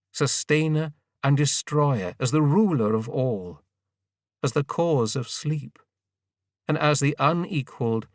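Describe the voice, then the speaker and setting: A man reading a sacred audiobook in a solemn, measured, reverent manner with deliberate pauses. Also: medium pitch, no echo, studio quality, recorded from a distance. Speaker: audiobook narrator. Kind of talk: scripted audiobook narration of scripture.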